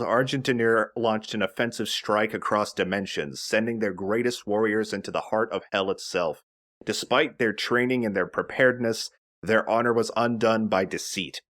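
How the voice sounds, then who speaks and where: A man's voice: lowish voice, normal speed, a bit bright. A man giving casual, chatty commentary as he explains a video game. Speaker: let's play host.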